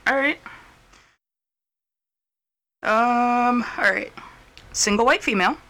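Podcast co-host, a woman, bright tone, easygoing and casually profane. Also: a little high, slow. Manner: relaxed, swears casually, laughs along. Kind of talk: casual chat, reading personal ads aloud.

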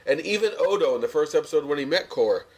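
A man's voice: fairly high pitch, lively intonation, fast, darkish tone, rough recording. An American man co-hosting a podcast, chatting casually through a review in an opinionated, sardonic way. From United States. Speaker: podcast co-host.

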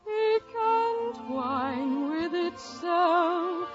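A woman's voice: very high voice, expressive, drawn-out words, heavily acted. A woman singing in an operatic style for a 1950s radio musical. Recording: muffled vintage radio with orchestral music.

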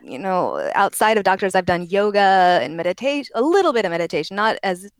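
Woman from oregon, very young-sounding voice, rambling, self-correcting, laughs often, smooth tone, dry close sound. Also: mid-range voice, very animated, a little fast, no echo. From Oregon, USA. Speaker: interview guest. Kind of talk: casual interview conversation.

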